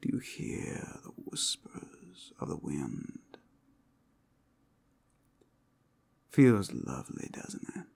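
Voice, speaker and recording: Man playing a low gravelly flirty werewolf. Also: huge pitch swings, a little mellow, exaggerated voice. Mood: seductive. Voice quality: gravelly, husky, often dropping to a whisper. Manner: slow, playful, seductive drawl with pet names. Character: flirtatious, teasing male werewolf companion in a fantasy world. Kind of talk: ASMR roleplay, intimate scripted acting to the listener.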